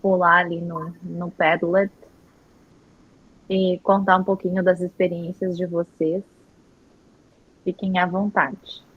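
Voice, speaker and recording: Flat, husky woman on dull audio. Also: lowish voice, slow pace, pauses often. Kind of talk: inviting class participation.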